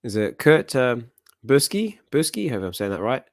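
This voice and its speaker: A man with a slightly hoarse voice hosting a comic reading stream, chatting casually and rambling with lots of fillers. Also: mid-range voice, very animated, normal speed, no echo, studio quality. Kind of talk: casual chat.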